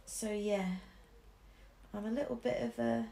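A woman's voice: a little high, level tone, a little slow, creaky, warm tone, reverberant. A young woman from Shropshire with a mixed English Midlands accent, chatting casually with many ums while she draws at home. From Shropshire, England. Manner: chatty, many ums and restarts, laughs easily. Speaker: artist streamer. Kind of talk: casual chat while drawing. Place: living room at home, indoors. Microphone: camera over the shoulder.

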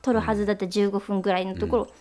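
A woman's voice: medium pitch, flat delivery, very fast. A woman radio host chatting casually, telling behind-the-scenes stories. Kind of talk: casual chat, recounting film shoot stories. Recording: music playing behind the talk. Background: background music.

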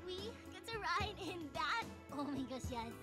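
A woman's voice: very high-pitched, lively intonation, normal speed. A woman playing a bubbly, childlike animal girl in a video game cutscene. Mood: excited. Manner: bubbly, eager. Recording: game audio with music behind.

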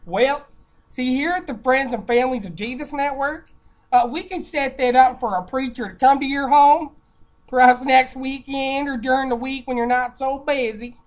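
A woman's voice: sits high, normal speed, lightly acted, low-quality recording. A woman putting on a chatty, folksy church outreach caller in a prank call, gushing and deferential. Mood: earnest, pious. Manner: gushing, folksy, deferential, repeatedly saying ma'am. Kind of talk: improvised prank phone call.

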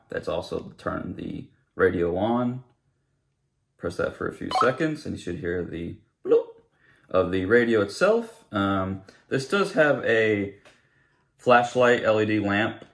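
A young man with a low-pitched voice talks through a product review. His delivery is casual and unscripted, explaining each point in plain words. He is recorded in a living room, a short distance from the camera's microphone. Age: young adult.

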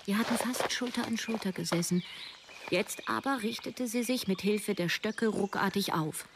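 A woman's voice: mid-range voice, moderate pace, background noise, natural voice. An actress playing a self-doubting woman in her thirties, narrating her own story reflectively in a radio drama. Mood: recounting. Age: 30s. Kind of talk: scripted first-person narration. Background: music.

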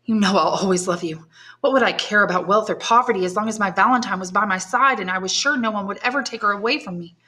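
A woman's voice: mid-range voice, fast, sharp tone, lightly acted. A woman reading a devoted young suitor. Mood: earnest, devoted. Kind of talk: audiobook character voice.

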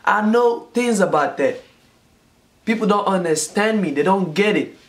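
A man's voice: sits high, expressive, unhurried. A man in his twenties from Nigeria, telling a personal story to the camera in a casual vlog, repeating phrases for emphasis. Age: 20s. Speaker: vlogger. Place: small indoor room, seated at home. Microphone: camera in front, close framing.

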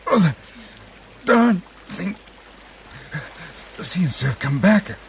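An actor playing an anxious, ailing father in a radio drama, speaking in a halting, worried way. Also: high voice, big pitch swings, vocal fry, a little hiss or hum, full character voice. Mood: anxious, troubled. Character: anxious, ailing father of a runaway grown son.

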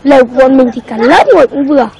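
A woman's voice: sits high, racing, gravelly, a crowd in the background. A woman voicing a cocky, scheming schoolboy in a cartoon, bossy, boastful and given to exclaiming. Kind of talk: cartoon voice acting.

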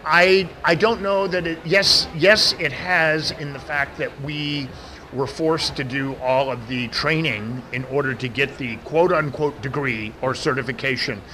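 A man's voice: a little high, a little slow, pushed and bright. A middle-aged American man who says he has a big voice, answering interview questions with many restarts. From United States. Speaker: interview guest, event professional. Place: outdoor event media wall. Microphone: handheld mic held by interviewer. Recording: outdoor traffic noise behind.

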